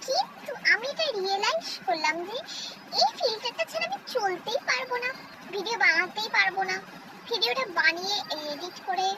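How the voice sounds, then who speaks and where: Squeaky, very high filtered woman's voice. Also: heavy background noise. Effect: high-pitched voice-changer filter. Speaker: vlogger. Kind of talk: casual chat to viewers.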